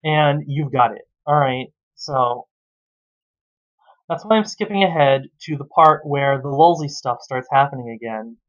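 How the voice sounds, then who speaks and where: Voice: medium pitch, a little slow, muffled recording. A sarcastic, exasperated reviewer who swears freely while giving casual commentary on a story.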